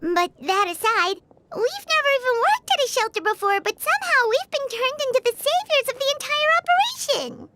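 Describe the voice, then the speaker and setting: Squeaky, excitable tiny fairy girl. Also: normal speed, no room sound, exaggerated voice. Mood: confident. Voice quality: squeaky, childlike. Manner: chirpy, expressive, speaks of herself in third person. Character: Paimon, tiny excitable childlike floating fairy companion girl. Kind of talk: English game dub voice acting. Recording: loud background music.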